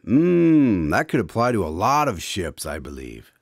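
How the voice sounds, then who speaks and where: A man's voice: fairly low pitch, wildly swooping pitch, measured pace, no room sound, studio quality. A man hosting a scripted radio drama in an old-style presenter's manner. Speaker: radio show host.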